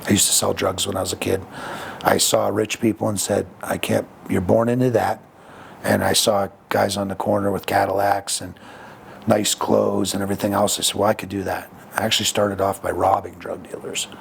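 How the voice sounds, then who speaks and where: A man speaks frankly as a podcast guest, his voice low and gravelly. He reflects on his past in an unhurried, conversational way, often pausing with fillers and restarts. A faint hiss sits under the recording. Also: flat delivery. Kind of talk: candid conversational storytelling.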